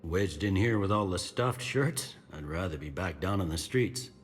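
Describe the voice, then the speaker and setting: Deep-voiced, gruff, jaded cop. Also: male voice, lively intonation, moderate pace, faint music behind. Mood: weary grumbling. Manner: blunt, colloquial. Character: gruff, jaded human police officer in a sci-fi game.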